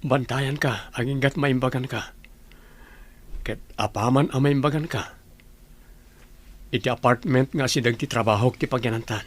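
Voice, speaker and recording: A man's voice: mid-range voice, normal speed, long pauses, close to an everyday voice. A man with an Ilocano accent playing a plain-spoken village family man in a radio drama. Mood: devoted, concerned.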